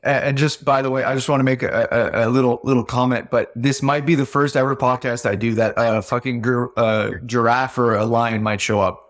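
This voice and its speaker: Brief: mid-pitched male podcast host, chatty, stumbles and repeats words. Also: fairly even intonation, fairly quick, hardly any pauses. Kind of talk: casual interview.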